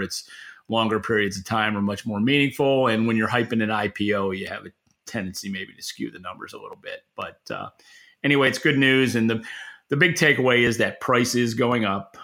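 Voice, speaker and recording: Guy on a podcast giving his take, sharp bright voice, kinda halting and informal. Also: medium pitch. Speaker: podcast co-host and industry analyst. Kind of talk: opinionated market commentary in conversation.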